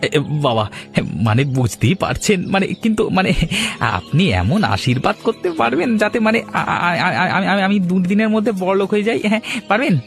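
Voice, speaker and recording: An excitable, gullible village man in a cartoon, voiced by a male actor. He talks with wide swings in pitch and trips over his words, with music behind him. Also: brisk, creaky. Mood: eager, flustered. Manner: stammering, excitable. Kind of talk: cartoon story acting and narrating.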